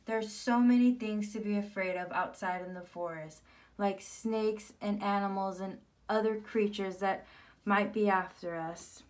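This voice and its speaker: A woman's voice: mid-range voice, steady tone, unhurried, darkish tone, lightly acted. Woman playing a cautious, scolding sister mouse, slightly distant. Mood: worried scolding.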